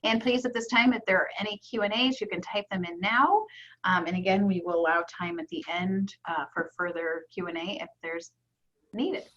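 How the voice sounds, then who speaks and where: A woman's voice: on the low side, moderate pace, fairly bright tone, muffled recording. Polite woman moderating an online event. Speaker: event host.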